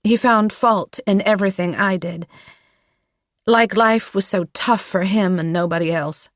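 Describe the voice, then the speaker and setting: A woman voicing a grieving adult daughter with an American accent, speaking softly and wearily, often half-whispered. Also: mid-range voice, a little slow, dry recording, studio quality, pitched above the performer's own voice, close to an everyday voice. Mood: weary.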